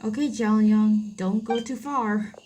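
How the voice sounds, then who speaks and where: A woman giving casual, halting gameplay commentary, thinking aloud and repeating phrases as she plays. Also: medium pitch, fairly even intonation, slight background noise. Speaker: gamer narrating a playthrough. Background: loud game music.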